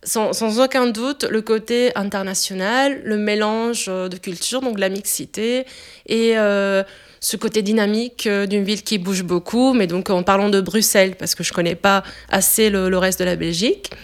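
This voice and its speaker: This is a woman in her twenties whose first language is Italian, speaking French with an Italian accent. Her voice is smooth and clear. She talks at a relaxed, searching pace, often pausing on fillers as she gathers her thoughts. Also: medium pitch. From Italy. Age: 20s. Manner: hesitant, many filler pauses. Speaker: interviewee, French teacher. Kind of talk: casual interview answers.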